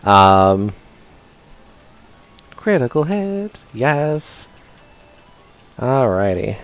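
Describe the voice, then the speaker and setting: A man's voice: mid-range voice, sing-song, very slow. A man doing casual, rambling gameplay commentary for a live stream, chatting playfully to his viewers as he plays. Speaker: game streamer.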